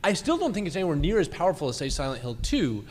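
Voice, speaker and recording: A man with a moderately high voice discusses things analytically on a panel. He talks conversationally and guides the exchange. A long hall reverb surrounds him, as at a live stage event. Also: wildly swooping pitch, quick pace. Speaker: podcast panelist steering the discussion.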